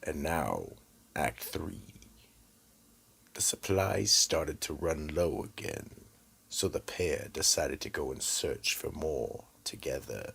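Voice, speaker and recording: Man doing a gravelly, bottomless-deep horror storyteller. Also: animated, slow pace, fairly bright tone, heavily acted. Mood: ominous. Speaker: narrator and host. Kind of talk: scripted horror narration.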